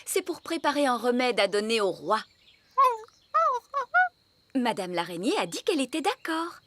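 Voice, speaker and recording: A woman's voice: fairly high pitch, sing-song, normal speed, very noisy. A woman playing a knowing, bossy fairy nanny in a children's cartoon, speaking in an instructive, emphatic way with proper diction. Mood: polite.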